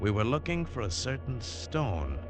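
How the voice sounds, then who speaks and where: A man's voice: mid-range voice, big pitch swings, normal speed, natural voice. A man playing a tough, wary American adventurer who narrates his treasure hunt in clipped, hardboiled 1940s radio style. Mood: suspenseful. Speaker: lead actor and narrator. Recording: old 1940s radio broadcast, music behind.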